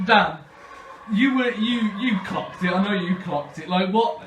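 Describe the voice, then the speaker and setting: A man's voice: high-pitched, measured pace, fairly bright tone. A man casually telling a funny story to a group, chatty and jokey with a mock-grand turn of phrase. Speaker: documentary host and pantomime performer. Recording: echoey room, distant mic, background noise.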